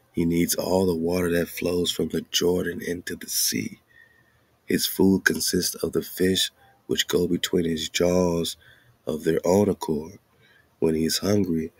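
Man, very low pitch, husky edge, dry sound, informal show host, slangy commentary. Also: no echo. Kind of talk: casual commentary mixed with reading aloud.

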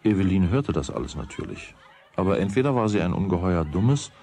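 A man's voice: deep voice, lively intonation, fairly quick, dark tone. A man narrating a children's radio play in a scripted storytelling style.

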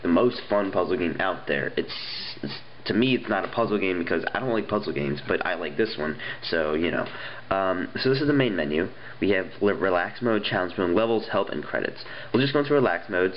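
A man's voice: low-pitched, dull audio, slight background noise. A young man with a stuffy nose chatting casually and rambling as he reviews phone games at a table, with plenty of ums and restarts. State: stuffy nose, getting sick. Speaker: app reviewer. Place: indoors at a wooden table.

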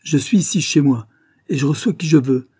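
A man's voice: moderate pace, dark tone. A man voicing an irascible, spluttering older former lawyer with a harsh voice, in an audiobook story. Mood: indignant, blustering. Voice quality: harsh, rasping, shrill when angry. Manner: spluttering, outraged.

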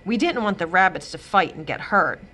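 A woman reading a children's chapter book aloud, giving the characters expressive, lively voices. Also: fairly low pitch, a little fast. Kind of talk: storybook read-aloud. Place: library, indoors.

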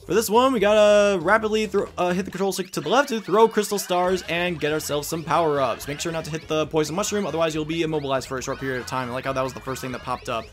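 A man's voice: on the high side, fast talker, hardly any pauses. A man giving playful video game commentary as a Let's Play host, with jokey, self-deprecating asides. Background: game music.

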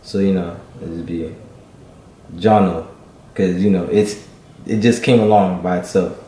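A man's voice: sits low, fairly even intonation, measured pace, mellow, roomy sound. A teenage boy from Miami introducing himself for an audition, rambling loosely. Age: teens. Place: living room with bookshelves.

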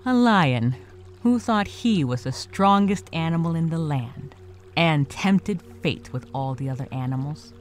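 Solemn narrator of animal fables for a cartoon, played by a man, dramatic, unhurried, over soft music. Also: a little high, expressive. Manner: grave, deliberate. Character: solemn storyteller of a cautionary animal legend.

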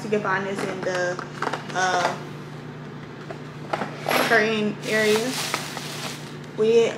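A woman in her twenties chatting casually and informally to her viewers as a vlogger. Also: mid-range voice. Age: 20s. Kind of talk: casual vlog chat. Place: parked car and a room at home, indoors. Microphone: camera at arm's length or propped close. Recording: noisy ambient camera audio.

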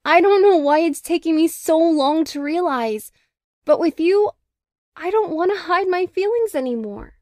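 A woman's voice: extremely high pitch, expressive, moderate pace, a little mellow, dry recording, clean studio sound. A young woman playing an emotional teenage magic princess in a cartoon comic dub. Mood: tender, grateful. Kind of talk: fan comic dub voice acting.

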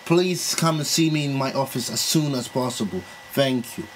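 Creepy, pleading lecturer, played by a man, halting prank-call delivery, slightly noisy amateur recording. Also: mid-range voice, natural voice. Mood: stern, exasperated. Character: lovesick creepy Italian male university lecturer.

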